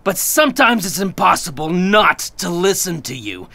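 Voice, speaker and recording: A man's voice: high-pitched, very animated, normal speed, creaky, bright, forceful tone, no echo, exaggerated voice. A man playing an irritable, eccentric intelligence agency chief in a comic audio drama, exasperated and given to barking orders. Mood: irritated. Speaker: voice actor. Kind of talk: comic sci-fi audio theatre acting.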